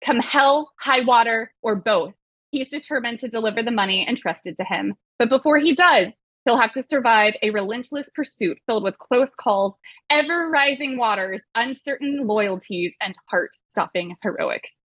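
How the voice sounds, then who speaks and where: A woman's voice: fairly high pitch, expressive, normal speed, fairly bright tone, no room sound, rough recording. A woman hosting a podcast, reading prepared material with wry, playful casual asides. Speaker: podcast host and moderator.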